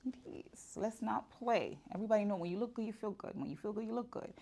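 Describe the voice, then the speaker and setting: A woman's voice: medium pitch, lively intonation, quick pace, vocal fry, dark tone. A woman, talking candidly and casually to the camera in her shop, with many fillers and restarts. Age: 40s. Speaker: vlogger. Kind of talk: casual personal vlog.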